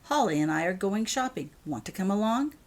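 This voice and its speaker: A woman's voice: mid-range voice, big pitch swings, fairly quick, voice pushed up, lightly acted. Woman playing a firm, caring mother. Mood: brisk. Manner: decisive, motherly.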